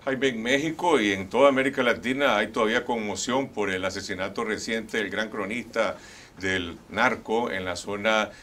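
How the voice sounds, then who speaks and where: A man's voice: fairly low pitch, bright, forceful tone. A middle-aged man hosting a TV interview in a studio, putting formal, probing questions to his guests. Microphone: lapel.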